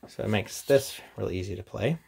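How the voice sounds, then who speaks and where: Low-voiced man with a gravelly creak. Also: brisk, darkish tone, slight background noise. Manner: informal, off-the-cuff. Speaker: reviewer, presenter. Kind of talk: casual unboxing and review chat.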